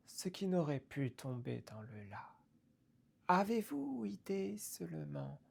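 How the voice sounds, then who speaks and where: A woman recites verse with a creaky, mellow, low voice. Her delivery is theatrical and deliberate, broken into short phrases that can sink toward a whisper. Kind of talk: slow theatrical poetry recitation.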